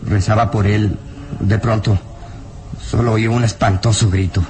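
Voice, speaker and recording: An actor playing a burly, frightened and bitter peasant villager in a melodramatic radio drama, anguished, emphatic and halting. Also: male voice, lowish voice, steady tone, close to an everyday voice. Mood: horrified.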